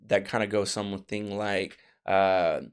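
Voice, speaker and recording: Male American interviewer for a podcast, fairly low voice, informal chatty questioning. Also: little pitch movement, measured pace, clean tone. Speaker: podcast host. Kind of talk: casual conversational interviewing.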